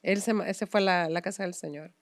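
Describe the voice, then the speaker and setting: A woman's voice: lowish voice, quick pace, dry recording, clean studio sound. A woman hosting a casual interview, chatting along with interjections and turning to address the listeners. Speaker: interview host.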